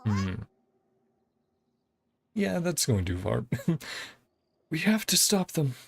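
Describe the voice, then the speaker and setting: Gravelly male game streamer thinking aloud. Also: a little high, very animated, takes it slowly, background noise. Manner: rambling, hesitant thinking aloud. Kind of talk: casual game playthrough commentary, reading dialogue aloud.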